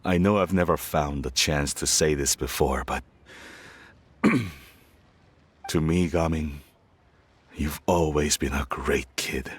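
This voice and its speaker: A gruff middle-aged father in a video game. His voice sits very low and he takes his time, halting and a little awkward as he shows a tender, reticent pride. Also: full character voice. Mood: tender, encouraging. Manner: halting, sincere, slightly awkward.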